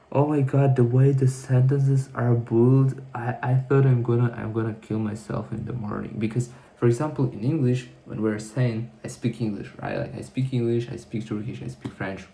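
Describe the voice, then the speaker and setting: A man's voice: mid-range voice, steady tone, normal speed, gravelly, dark tone. A man talking casually to camera in a diary-style vlog, rambling and correcting himself as he thinks aloud. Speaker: vlogger. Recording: echoey room.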